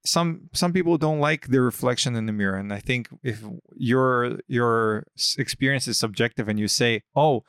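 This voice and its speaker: A man hosting a podcast in casual chat, often pausing and restarting as he searches for words. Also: mid-range voice, a bit bright, smooth, dry recording, clean studio sound. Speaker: podcast host. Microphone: studio podcast mic.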